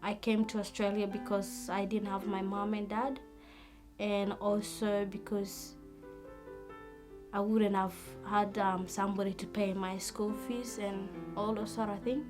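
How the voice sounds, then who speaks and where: A woman's voice: mid-range voice, little pitch movement, a little slow, music in the background. A woman student giving personal testimony in an interview. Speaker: refugee student.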